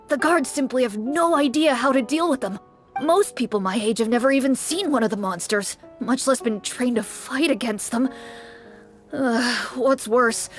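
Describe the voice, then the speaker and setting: A woman's voice: on the high side, lightly acted. Woman doing a fretful, teary townsman. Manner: fretful and gushing, breaks into shaky relief. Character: anxious, tearful middle-aged townsman.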